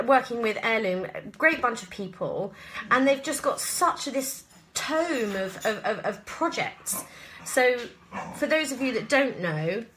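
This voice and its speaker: A woman's voice: medium pitch, fairly bright tone, poor audio. A British woman chatting casually in a live Q&A at home, rambling and self-deprecating as she goes. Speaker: actress and voice actor answering viewer questions. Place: at home, indoors.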